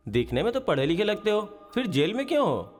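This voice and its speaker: An actor playing a curious, respectful fellow prisoner in a film. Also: male voice, sits high, sing-song, brisk, big-room sound, faint music behind. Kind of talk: film dialogue.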